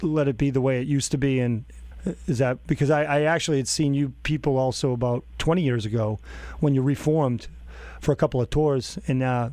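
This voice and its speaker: A man's voice: mid-range voice, steady tone, brisk, dark tone, no room sound. A man hosting a radio interview, asking long, rambling questions and laughing often. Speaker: radio host. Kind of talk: casual interview.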